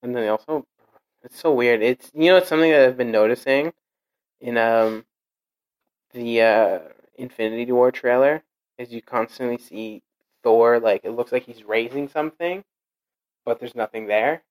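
A man's voice: medium pitch, low-quality recording. A man in casual chat with friends, rambling and correcting himself as he talks. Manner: rambling, self-correcting, quick to clarify.

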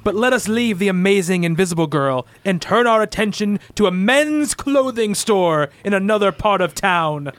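A man's voice: high voice, expressive, a bit bright, no echo. A man playing a theatrical comic-book narrator, declaiming scripted narration in an amateur radio play. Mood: dramatic. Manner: melodramatic, fast, declamatory.

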